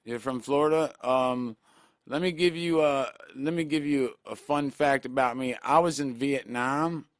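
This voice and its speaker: A man's voice: mid-range voice, no room sound, clean studio sound, natural voice. A radio host playing a forgetful older army veteran who stumbles and halts over his own age and birthday on a prank call. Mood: muddled. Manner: halting, confused, stumbling over numbers.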